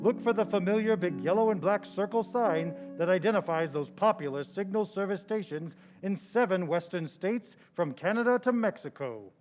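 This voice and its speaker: A man announcing and reading a sponsor pitch in an upbeat manner for an old-time radio show. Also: animated, moderate pace, hardly any pauses. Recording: dull old-radio sound with loud music.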